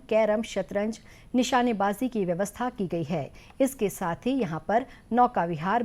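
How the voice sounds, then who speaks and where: A woman reading a scripted news bulletin in a formal, measured manner. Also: a little high, a little mellow. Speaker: news reader.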